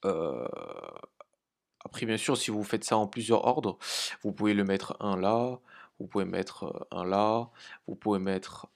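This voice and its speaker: Man from france, lowish voice, hesitant, filler-heavy, laid-back market commentary. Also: a little mellow. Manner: halting, many fillers, informal swearing; laughs lightly while talking. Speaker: crypto trading channel host. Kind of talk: casual market analysis.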